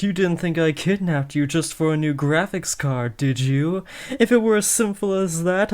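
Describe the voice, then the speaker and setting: A man's voice: high voice, a little mellow, clean tone. A young man playing a villain's teenage son in a scripted roleplay, taunting theatrically and slipping into sheepish, casual talk. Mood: flustered, bossy. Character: teenage villain's son playing at kidnapping, cocky but awkward. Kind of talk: scripted roleplay audio addressed to the listener.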